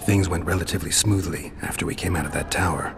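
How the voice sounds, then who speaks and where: An actor playing a laconic, dry-witted male monster hunter in a fantasy game, terse and deadpan. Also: low voice, fast talker, background noise. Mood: weary, cynical. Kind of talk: dramatic fantasy game acting.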